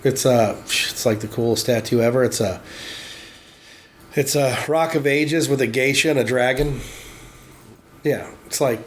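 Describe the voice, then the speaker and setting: A man in his forties shoots the breeze in a middle-pitched voice. He rambles, restarts sentences and swears freely. A faint noise runs underneath. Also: a little slow, pauses often. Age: 40s. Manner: rambling, profane, many restarts. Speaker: tattoo shop worker. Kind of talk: casual chat.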